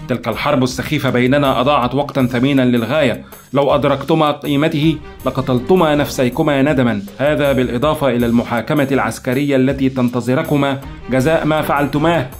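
A man's voice: mid-range voice, quick pace, faint music behind, lightly acted. A man voicing a stern, driven intelligence officer who barks urgent orders. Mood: stern, angry. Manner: urgent, commanding, shouting orders. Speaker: lead character in an audiobook.

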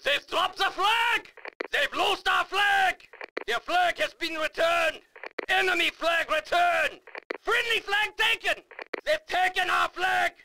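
An actor playing a fierce, fanatical German army officer who barks commanding battle announcements in a German accent for a game. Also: male voice, very high voice, takes it slowly, raised voice, noisy background. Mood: fierce, urgent. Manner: barking, emphatic, commanding. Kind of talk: shouted military game announcements.

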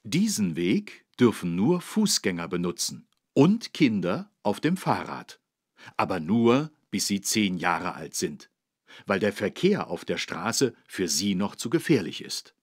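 Slow, husky, kindly policeman. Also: fairly low pitch, very animated, no room sound, clean studio sound, exaggerated voice. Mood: patient, instructive. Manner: patient, instructive, emphatic. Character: kindly, careful policeman teaching children road safety. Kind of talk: scripted educational game narration for children.

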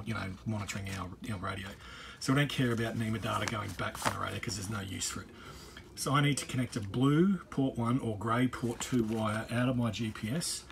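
Man explaining casually over background noise. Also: lowish voice. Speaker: boat owner giving a DIY walkthrough. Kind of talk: casual off-the-cuff tutorial. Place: on a boat. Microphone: handheld phone. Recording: handheld phone recording, clear ambient noise.

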